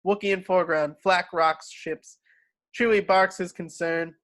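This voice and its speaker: A man's voice: high voice, steady tone, a little slow, fairly bright tone. A man reading screenplay stage directions aloud as scripted narration.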